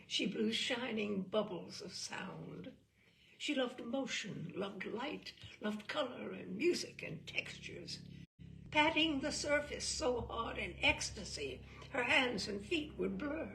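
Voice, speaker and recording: A woman reading a literary short story in the first person, measured and expressive, dwelling on phrases. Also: a little high, vocal fry, a little hiss or hum.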